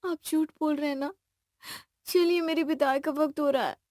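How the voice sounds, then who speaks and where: A woman voicing an emotional, animated young bride-to-be, an affectionate daughter and sister in an audio drama. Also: high voice, normal speed, no echo, clean studio sound, voice pushed up, natural voice. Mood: tender, upset.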